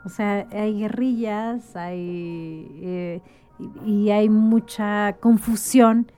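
A woman's voice: mid-range voice, steady tone, extremely slow, warm tone, clear, resonant tone, soft background music. A woman talking as a guest in a casual conversation, explaining things like an informal storyteller and often checking for agreement. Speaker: interview guest.

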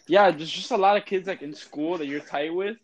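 A man's voice: high voice, fairly quick, slight background noise, low-quality recording. A teenage man with a Cuban American accent, chatting as a podcast guest in an offhand, jokey way that often trails off. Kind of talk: casual chat.

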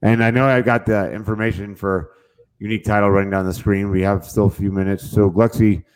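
A man's voice: fairly low pitch, fairly even intonation, a little fast, darkish tone, rough recording. A man hosting a real estate podcast, talking in an upbeat, rambling way with lots of fillers.

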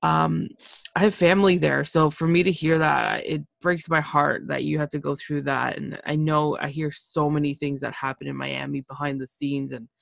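A woman from Canada hosting a casual interview podcast, chatty and quick to exclaim. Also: sits low, flat delivery, vocal fry, dry recording. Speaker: podcast host.

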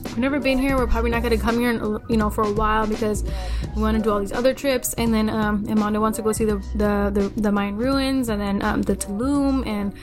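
A woman's voice: mid-range voice, fairly even intonation, quick pace. A young woman chatting casually as a podcast co-host, swearing freely and acting out her own past remarks. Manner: chatty, swears freely, quotes herself in asides. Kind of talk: casual chat. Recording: music playing behind the talk.

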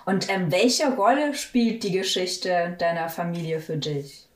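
A woman's voice: lowish voice, animated, unhurried. A woman interviewing a man, curious and asking follow-up questions. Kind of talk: conversational interview. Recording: echoey room, distant mic.

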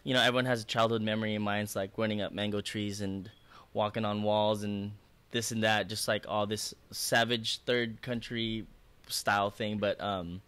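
A man's voice: lowish voice, flat delivery, normal speed, fairly bright tone, clean tone. A man who grew up in the Philippines and speaks Bisaya as his first language, a worship leader talking earnestly and reflectively in a casual conversation.

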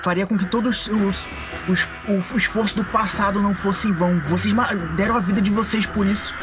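A blunt future adventurer played by a woman argues rapidly in an audio roleplay. The delivery is casual and emphatic, with clear music in the background. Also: mid-range voice, fairly even intonation, lightly acted. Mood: exasperated, reasoning. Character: Nao, blunt, reasoning cowboy companion from the future. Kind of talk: tabletop roleplay in character.

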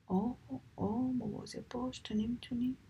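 A woman's voice: a little high, fairly even intonation, dark tone, highs cut off, a little hiss or hum, voice pushed up, close to an everyday voice. A woman voicing a grumpy male seagull who yelps in pain from thorns. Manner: yelping, crying out in pain.

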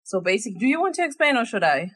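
A woman's voice: on the high side, very animated, fast talker, a bit bright, husky, no room sound, studio quality. A woman in her twenties from Germany, a podcast guest telling a story casually with fillers. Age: 20s. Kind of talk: casual conversation.

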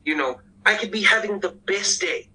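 Bright, squeaky-voiced man. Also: normal speed, slightly rough, rough recording. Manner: earnest, reflective, repeats words when starting. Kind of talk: casual panel discussion.

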